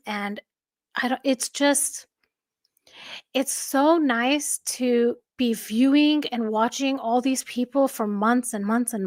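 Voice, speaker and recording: A woman hosting a livestream, chatting casually with a soft, calming voice and reading out viewer comments. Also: fairly high pitch, no echo, studio quality. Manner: chatty, warm thanks to viewers, frequent fillers and light laughs. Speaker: livestream host. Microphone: home streaming microphone.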